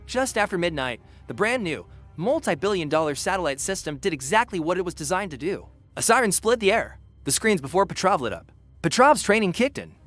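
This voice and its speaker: High-pitched, dramatic male narrator. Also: sing-song, fairly quick, fairly bright tone, faint music behind. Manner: grave, suspenseful storytelling. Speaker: documentary narrator. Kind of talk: dramatic scripted history narration.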